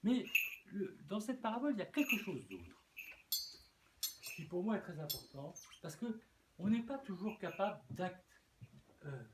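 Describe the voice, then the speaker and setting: A man's voice: high voice, sing-song, normal speed, pauses often. A man preaching a sermon as a bishop, earnest, often repeating words and restarting. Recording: echoey room with faint noise.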